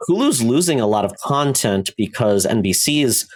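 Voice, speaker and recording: A man's voice: mid-range voice, very animated, moderate pace. A man hosting a podcast, explaining news in a rambling, conversational way. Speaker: podcast host presenting entertainment news. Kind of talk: casual news chat.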